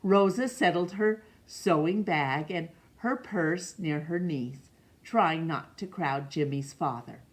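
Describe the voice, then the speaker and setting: A middle-aged woman reading a picture book aloud in a measured, expressive way for children. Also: low voice. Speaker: storytime reader. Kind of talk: read-aloud storybook narration. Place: small room indoors.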